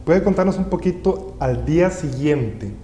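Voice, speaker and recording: A man's voice: lively intonation, unhurried, mellow. A man, interviewing someone in a conversational style. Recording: echoey room with rumbling background noise.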